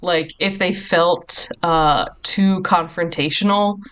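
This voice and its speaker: A woman's voice: fairly low pitch, steady tone, takes it slowly, a bit bright. A woman in her thirties, a film critic guest on a podcast, talking casually in long reflective thoughts. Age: 30s.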